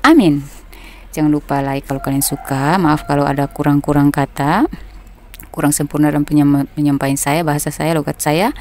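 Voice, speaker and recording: A woman from North Maluku with her regional accent, giving a gentle, unhurried tarot reading as its host. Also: low-pitched, steady tone. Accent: North Maluku Indonesian. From North Maluku, Indonesia. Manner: gentle, unhurried, reassuring, with soft laughs. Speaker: tarot reader. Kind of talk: casual tarot reading monologue.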